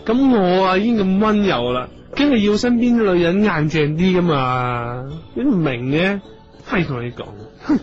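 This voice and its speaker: A man's voice: sits high, very animated, moderate pace, highs cut off. An actor playing a fussy, effeminate, clingy male talent manager in a comedic radio drama, with a Hong Kong Cantonese accent and a whiny, auntie-like manner. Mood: huffy, petulant. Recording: music behind the voice.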